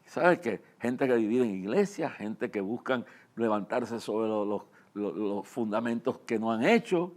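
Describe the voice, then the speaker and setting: An older man with a Puerto Rican accent giving an informal religious address and personal testimony, earnest and halting, repeating phrases as he speaks straight to listeners. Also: lowish voice, lively intonation, darkish tone. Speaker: preacher and ministry leader.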